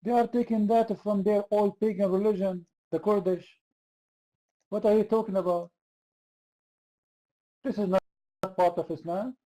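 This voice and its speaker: A high-pitched man hosting a heated online debate. His voice is very dark and mellow despite the pitch. He hammers the same question over and over. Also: fairly even intonation, moderate pace, pauses often, rough recording. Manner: insistent, repeats the same question. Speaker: debate host.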